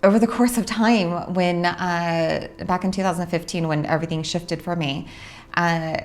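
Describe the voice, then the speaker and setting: A young adult woman from Orange County, California, hosting a casual monologue about her spiritual work, unhurried and reflective with frequent pauses. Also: on the low side, fairly even intonation. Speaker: host, spiritual practitioner.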